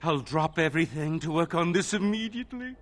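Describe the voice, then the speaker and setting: An actor playing an excitable, temperamental perfectionist armorsmith in a fantasy video game, theatrical and fussy. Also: male voice, a little high, fairly quick, lightly acted. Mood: awed, eager. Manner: theatrical, fussy, gushing and petulant.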